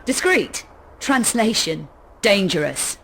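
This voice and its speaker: Cool, sardonic adventuress. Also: female voice, mid-range voice, sing-song, slow, creaky, fairly bright tone, husky, heavily acted. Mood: dry, skeptical. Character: cool, threatening young female adventurer, sardonic and self-assured. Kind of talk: video game cutscene voice acting.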